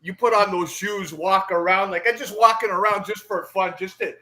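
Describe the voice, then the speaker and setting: A man's voice: sits high, steady tone, moderate pace, sharp tone, low-quality recording. A middle-aged man chatting casually as a sports show host, rambling like an enthusiastic fan and tagging his points with a questioning word. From Toronto, Canada. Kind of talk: casual sports interview chat.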